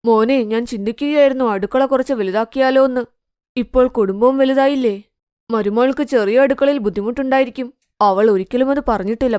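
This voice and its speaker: A woman voicing an elderly, considerate and gently firm mother-in-law in a cartoon moral story. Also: fairly high pitch, clean tone, no echo, studio quality, pitched below the performer's own voice, lightly acted. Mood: thoughtful.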